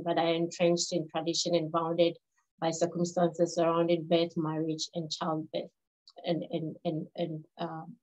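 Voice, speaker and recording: A woman in her fifties from Ghana, English not her first language, giving a webinar talk in a measured way with pauses and restarts. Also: on the low side. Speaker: health researcher presenting. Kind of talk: webinar presentation. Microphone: video call. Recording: dull lo-fi webinar audio.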